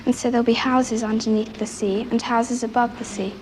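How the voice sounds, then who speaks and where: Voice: mid-range voice, level tone, a little fast, a little mellow. A young girl giving casual answers in an interview. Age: child or teenager. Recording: faintly noisy 1960s archival interview.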